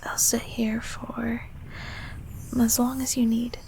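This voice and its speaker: A woman voicing a gentle, protective childhood closet monster in a comfort audio roleplay, speaking haltingly in a breathy, raspy whisper. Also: a little high, flat delivery, slight background noise, low-quality recording. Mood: tender, patient. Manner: halting, soothing, softly spoken.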